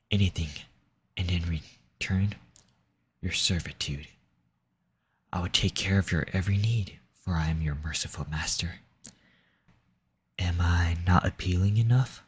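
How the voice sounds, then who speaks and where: Man voicing a male demon, very low pitch, husky and breathy, domineering, seductive, formal, intimate audio roleplay. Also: full character voice. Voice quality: husky, breathy, often dropping into whisper. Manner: formal, menacing, coaxing.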